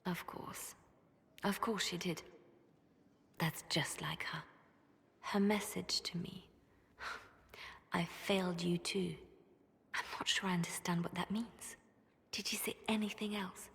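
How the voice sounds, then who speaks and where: A woman playing a loyal, haunted former spymaster in a video game, halting and hushed, as if grieving. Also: fairly low pitch, fairly even intonation, in a whisper, in a large hall, heavily acted. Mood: anguished, pleading. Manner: slow, halting, hushed and breathy. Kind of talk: dramatic video game acting.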